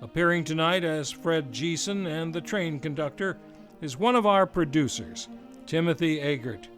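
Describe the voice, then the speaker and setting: A man's voice: on the high side, normal speed, fairly bright tone, soft background music. A man hosting an old-time radio show, reading a scripted introduction in a grandiose, theatrical showman's manner. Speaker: radio show announcer and host.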